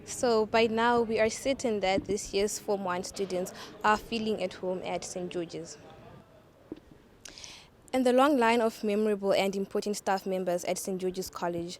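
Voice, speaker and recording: A woman's voice: medium pitch, a little mellow. A woman reading scripted narration for a school newsreel. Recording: faint background noise.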